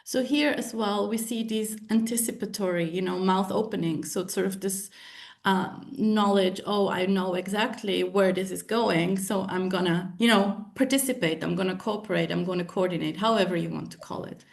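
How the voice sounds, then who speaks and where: A woman's voice: medium pitch, fairly even intonation, moderate pace, creaky. A woman from the Republic, presenting research at a lab meeting, hesitant with many fillers and restarts. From Czech Republic. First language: Czech. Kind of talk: academic presentation with Q&A.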